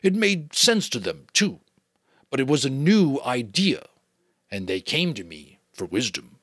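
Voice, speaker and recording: A man voicing a wise old male keeper of memories who speaks slowly and gravely. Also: medium pitch, sing-song, fairly bright tone, no echo, clean studio sound, pitched above the performer's own voice, exaggerated voice. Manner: slow, measured.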